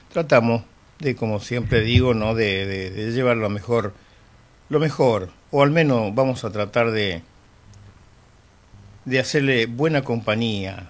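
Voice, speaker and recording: A middle-aged man with an Argentine accent, hosting a radio music show in a relaxed, rambling way between songs, with bits of humour. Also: medium pitch. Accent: Argentine Spanish. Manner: chatty, rambling, friendly with humour. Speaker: radio host. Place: radio studio.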